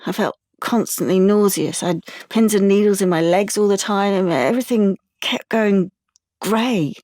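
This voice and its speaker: A young woman played by an actress in an audio drama, anxious and wounded. She confides in a halting, stumbling way, guarded about herself. The sound is close and dry, with no room around her. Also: mid-range voice, darkish tone, dry recording, close to an everyday voice. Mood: bleak, confiding. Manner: halting, confiding, with stammered restarts. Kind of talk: audio drama monologue and dialogue.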